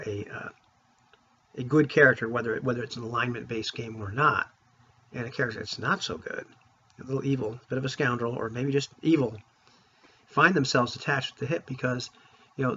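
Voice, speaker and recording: A man talking casually to viewers as a tabletop gaming vlogger, in an informal, rambling, opinionated way, with a husky voice. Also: mid-range voice, brisk, sharp tone. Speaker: vlogger on tabletop roleplaying games. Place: room at home. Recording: dull, lo-fi sound.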